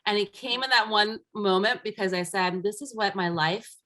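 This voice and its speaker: A woman's voice: mid-range voice, moderate pace, fairly bright tone. A middle-aged woman, a guest coach whose first language is Armenian, telling her career story in an earnest, reflective way in a casual interview. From United States, raised by immigrant parents.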